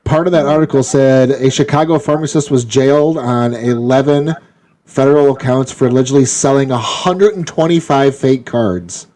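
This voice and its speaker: A man's voice: mid-range voice, normal speed, warm tone. A man co-hosting a live-stream podcast from home, ribbing the others with jokes. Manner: teasing, jokey. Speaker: podcast co-host. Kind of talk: casual live-stream chat. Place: home room, on video call.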